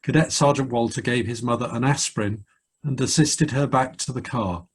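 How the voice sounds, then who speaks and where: A man speaking as a cadet force commandant, formally presenting and reading out in a measured way. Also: medium pitch, husky.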